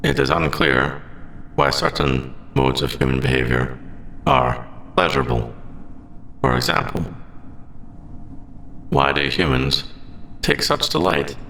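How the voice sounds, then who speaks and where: Gravelly, formal android AI, acted by a man. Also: medium pitch, sharp tone, slight background noise, heavily acted. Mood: earnest, curious. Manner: stilted, precise, deliberate robotic phrasing. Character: formal, logical male android AI, secretly sentient and earnest. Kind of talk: scripted ASMR audio roleplay.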